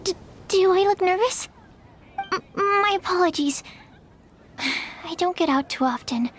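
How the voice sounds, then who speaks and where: A woman's voice: extremely high pitch, measured pace, a bit bright, a little hiss or hum. A woman voicing a shy, bookish young female alchemist in an anime video game, hesitant, apologetic and earnest. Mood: nervous, apologetic.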